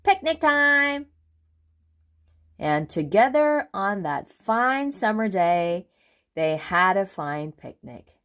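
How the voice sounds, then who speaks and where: A woman reading a children's book aloud in an expressive narrating style, with deliberate, dramatic phrasing. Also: lowish voice. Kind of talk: expressive storybook read-aloud.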